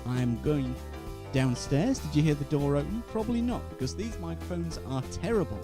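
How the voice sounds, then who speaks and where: A man's voice: fairly high pitch, fairly quick. A man hosting a casual online radio-style show in a rambling, self-deprecating way. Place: home studio and downstairs rooms. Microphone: switches between wireless and studio microphones. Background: background music in places.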